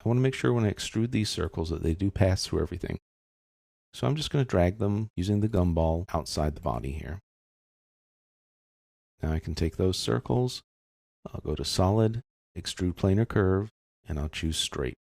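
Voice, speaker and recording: A man narrating a software tutorial step by step, explaining in a casual, informal manner. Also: low-pitched, expressive, normal speed, gravelly, pauses often, dry recording, clean studio sound.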